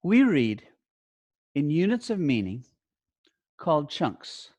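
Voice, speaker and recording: A man giving an instructional lesson as a teacher trainer, speaking deliberately in short phrases and often checking in with brief questions. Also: a little high, big pitch swings, darkish tone, no echo. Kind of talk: instructional talk to learner teachers.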